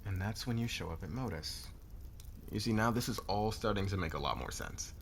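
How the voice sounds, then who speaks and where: A man playing a demanding, smug corporate boss in an intimate ASMR roleplay, probing and deliberate, with a threatening manner. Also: on the low side, slight background noise, lightly acted. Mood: grudging admiration. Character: dominant, demanding male corporate boss, smug and calculating interrogator.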